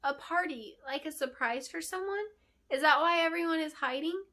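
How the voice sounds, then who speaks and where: A woman voicing an eager, curious little girl apprentice in a fantasy storybook, excitable and full of questions. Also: high-pitched, moderate pace, voice pushed up.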